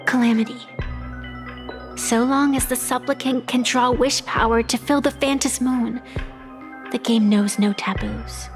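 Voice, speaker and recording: A woman playing an ancient, proud arbitrator of deadly games in a video game, speaking in a solemn, deliberate, poetic way over music. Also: on the high side, steady tone, lightly acted. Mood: grave, detached. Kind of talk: scripted video game voice acting, dramatic storytelling.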